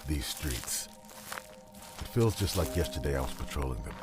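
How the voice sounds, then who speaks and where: An actor playing a weary, middle-aged male ex-soldier wanderer who speaks gruffly and calmly. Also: extremely low pitch, moderate pace. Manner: gruff, calm, determined. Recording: loud music behind the voice.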